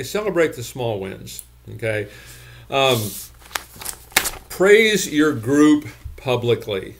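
Older man coaching, peppered with "okay?". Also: mid-range voice. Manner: folksy, repeats points, ends lines with "okay?". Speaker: gym business consultant. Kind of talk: talking-head business coaching. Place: home office with bookshelves, indoors.

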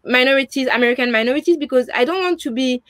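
A woman's voice: high voice, fast, no echo, studio quality. Earnest French-accented young woman. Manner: earnest, frequent restarts and "you know". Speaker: interview guest. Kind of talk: video call conversation. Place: home room, indoors. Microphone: video call.

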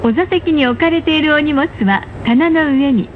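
A woman reading pre-recorded train announcements in a polite, formal, carefully measured manner. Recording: muffled, noisy onboard train speaker recording. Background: rumble of a moving train.

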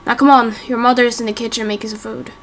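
A woman voicing a mild, exasperated father in a game roleplay, subdued and gently scolding. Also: fairly high pitch, level tone, fast talker, a little hiss or hum. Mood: weary, caring. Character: mild, exasperated father fussing over his child. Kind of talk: amateur game roleplay acting.

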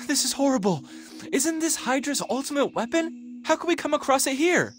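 An actor playing a nervous, excitable young male student in a video game, stammering and good-hearted but cowardly. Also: very high-pitched, measured pace. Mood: anxious, dismayed. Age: young adult. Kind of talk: video game dialogue acting.

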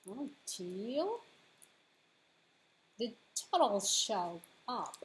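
A middle-aged woman hosting a bilingual storytime as a children's librarian, reading picture books aloud with deliberate phrasing for small children. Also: a little high, very animated, slight background noise, rough recording. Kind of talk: bilingual picture-book read-aloud for preschoolers. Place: living room at home, indoors.

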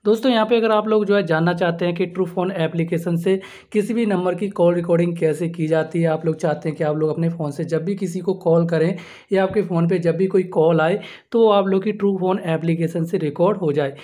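A man's voice: sits high, steady tone, fairly quick, mellow. Man giving a phone tutorial. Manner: repetitive, addressing viewers as friends. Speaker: host of a tech tutorial channel. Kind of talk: step-by-step phone app tutorial.

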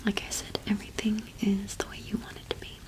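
Young female ASMR host: whispered, very even delivery, soft and gentle roleplay explaining. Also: medium pitch, quick pace, low-quality recording. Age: young adult.

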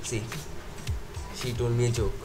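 A man with an Indian accent talks through a screen demo in a loose, unscripted way, pausing and correcting himself. His voice sits at an ordinary middle pitch. Music plays clearly underneath him. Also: level tone. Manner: unscripted, thinking aloud with fillers and self-corrections. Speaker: tech video host. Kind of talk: casual screen-recorded tech walkthrough. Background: background music.

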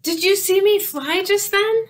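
An earnest little boy puppy from a storybook, as a woman reads him aloud. The voice sits high and childlike, eager and insistent, with unhurried delivery. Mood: excited.

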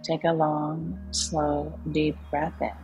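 A woman leading a guided meditation in a calm, soothing, unhurried way with long pauses. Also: deep voice, highs cut off. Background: soft background music.

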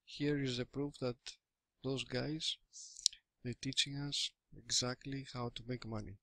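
A man's voice: medium pitch, steady tone, sharp tone, dry recording. A Greek man in his thirties, speaking English as a second language with a Greek accent, giving a hesitant, casual screen-recorded walkthrough from a small room on a webcam mic. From Greece. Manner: hesitant, halting English with many fillers and restarts. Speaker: affiliate marketer giving a product review. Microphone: webcam or laptop mic.

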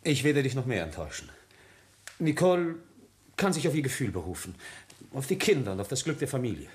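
A man's voice: mid-range voice, very animated, fairly quick, lightly acted. An actor playing a cynical, self-serving young grandson in a radio drama, pressing his case coolly. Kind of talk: German radio drama acting.

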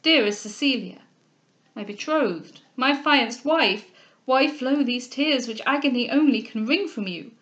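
A woman's voice: fairly high pitch, dull audio, close to an everyday voice. Young lover from a period novel, voiced by a woman: ardent, bewildered, formally spoken, imploring. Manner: impassioned, formal, rhetorical questioning. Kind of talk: dramatic reading of a classic novel.

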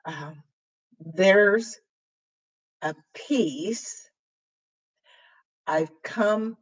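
An older woman teaching sign language, explaining signs slowly with a voice worn hoarse after surgery, filling pauses with ums. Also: medium pitch, rough recording. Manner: slow, halting, with frequent fillers while signing. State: voice weakened and hoarse after throat intubation. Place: small room with dark curtain backdrop, indoors.

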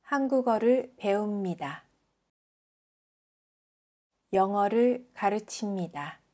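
A woman from South Korea reading example sentences as a language teacher, enunciating carefully and deliberately. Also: mid-range voice, lively intonation, mellow.

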